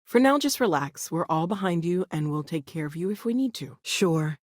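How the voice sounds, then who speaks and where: An earnest, caring scientist in a sci-fi game. Her voice sits a little low for a woman and is recorded very dry. She talks in a natural, thoughtful, expressive way. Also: moderate pace, no room sound. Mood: calm, reassuring. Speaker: video game voice actress. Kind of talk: naturalistic video game dialogue acting.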